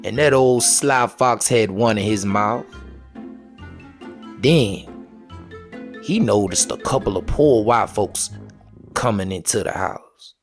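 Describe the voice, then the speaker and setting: Slow-talking male folktale storyteller over music. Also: fairly low pitch. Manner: folksy vernacular, unhurried. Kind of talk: dramatic oral folktale storytelling.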